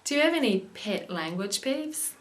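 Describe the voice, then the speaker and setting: A young woman hosting an English lesson show and reading the news in a clear, steady way. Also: mid-range voice, normal speed. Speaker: English teacher and show host.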